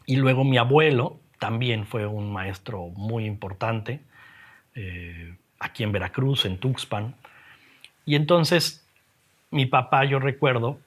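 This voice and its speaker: A middle-aged man with a Mexican accent, talking reflectively in an interview, haltingly and with frequent tag questions. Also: lowish voice, fairly bright tone, breathy edge. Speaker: interviewed graphic designer and teacher. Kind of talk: reflective personal interview talk.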